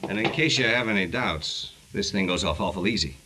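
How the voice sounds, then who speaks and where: An actor playing a curt, threatening nightclub crook in 1940s radio crime drama, clipped and tough. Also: male voice, lowish voice, expressive, brisk, natural voice. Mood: menacing. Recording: noisy old 1940s radio recording, clicks.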